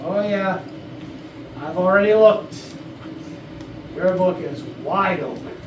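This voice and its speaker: A woman's voice: on the low side, slow pace. A woman playing a blunt, sardonic investigator in a murder mystery comedy reading, with a dry, probing, assertive manner. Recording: distant mic, echoey noisy room.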